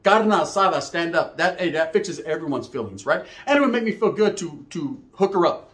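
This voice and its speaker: Higher-pitched Chicano man telling street stories. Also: animated, brisk, a bit bright. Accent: Chicano English. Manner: slangy, profane, Spanish phrases mixed in, checks in with "right?". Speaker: storyteller. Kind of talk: casual street storytelling, acting out dialogue.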